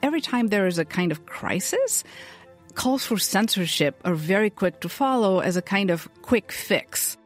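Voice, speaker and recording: A woman from Bulgaria, interviewed as an advocate against censorship, speaking in a measured and earnest way. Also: mid-range voice, sing-song, soft background music. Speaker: free speech advocate, interviewee. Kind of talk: thoughtful interview answers.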